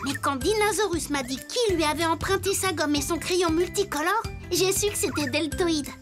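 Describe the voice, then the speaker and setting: A very high, childlike cartoon voice for a little wooden toy boy detective. He is earnest and inquisitive, asking clear questions over background music. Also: normal speed. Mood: pleased, explaining.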